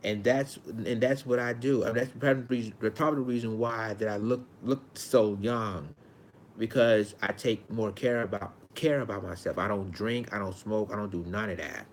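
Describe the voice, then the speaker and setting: A man in his forties from Arkansas with a high-pitched voice, talking earnestly to his viewers in a casual monologue, often repeating and restarting his phrases. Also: steady tone, normal speed, a little hiss or hum. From Arkansas, United States. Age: 40s. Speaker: vlogger.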